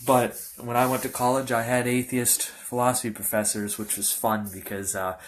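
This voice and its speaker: A man in his early twenties, a pastor, telling his personal testimony in a halting, earnest way with many fillers and restarts. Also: mid-range voice, little pitch movement, slight background noise. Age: young adult. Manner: earnest, informal.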